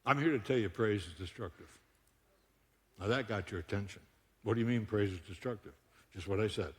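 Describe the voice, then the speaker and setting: A man's voice: on the low side, fairly quick. Joking older man preaching in a hall. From Buffalo, New York. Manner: joking, animated storyteller with frequent laughs. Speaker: guest speaker. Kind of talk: humorous sermon. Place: church auditorium.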